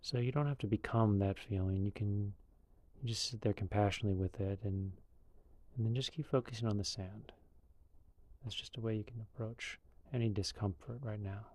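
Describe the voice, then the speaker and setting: A man leading a guided meditation in a calm, unhurried, loosely conversational way. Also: on the low side, no room sound.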